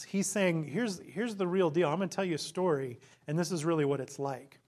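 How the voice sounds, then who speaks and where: A hoarse male pastor preaching an earnest sermon, his voice sitting a little high. He talks in a preacherly way and often pauses with ums. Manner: prays in a soft, low voice.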